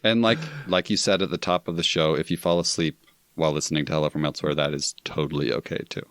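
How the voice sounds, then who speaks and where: A man's voice: very deep voice, fairly even intonation, quick pace, a bit bright, no room sound. A middle-aged man, a guest on a movie podcast, chatting in an easygoing, friendly way. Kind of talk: casual podcast chat.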